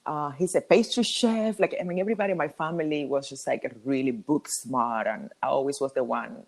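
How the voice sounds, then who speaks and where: A woman in her thirties with a Cuban Spanish accent, a native Spanish speaker, telling her story as a podcast guest with lively ums and laughs. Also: a bass voice, a little fast, vocal fry. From Cuba. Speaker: podcast guest, hair colorist. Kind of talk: casual interview talk.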